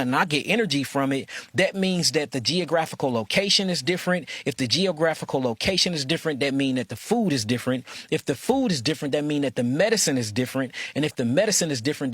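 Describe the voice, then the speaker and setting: A man's voice: on the high side, fairly bright tone, no room sound, studio quality. A man giving an impassioned, preachy monologue, repeating his phrases insistently. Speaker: speaker.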